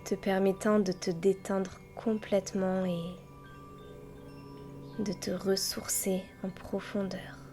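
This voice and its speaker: Female meditation guide: mellow, breathy and gentle, very unhurried delivery, soft chimes and music underneath. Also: medium pitch, flat delivery. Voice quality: soft, breathy, often near a whisper. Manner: gentle, unhurried, with long pauses between short phrases. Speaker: guided meditation teacher and host. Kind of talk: guided yoga nidra meditation, slow and soothing.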